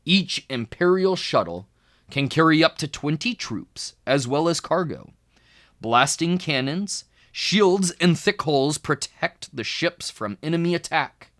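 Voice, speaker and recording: Man reading aloud with lively, swooping intonation. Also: a little high, unhurried. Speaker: tutor reading a children's book aloud. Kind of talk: expressive educational read-aloud narration.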